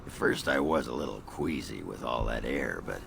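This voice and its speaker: Casting: hard-drinking dwarf brawler for a fantasy game. Bottomless, heavily fried voice, coarse and swaggering, unhurried. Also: male voice, very deep voice, lively intonation, background noise, heavily acted. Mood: gruff, boisterous. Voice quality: gravelly. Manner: coarse, blustering, sweary. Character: gruff, crude, hard-drinking dwarf warrior itching to fight. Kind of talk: fantasy video game character acting.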